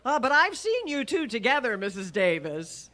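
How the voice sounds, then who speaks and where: A woman's voice: fairly high pitch, big pitch swings, normal speed, sharp tone. A woman playing a wry English teacher with dry, sardonic quips in a radio comedy. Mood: teasing. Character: wry, wisecracking female high school English teacher. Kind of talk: old-time radio comedy acting.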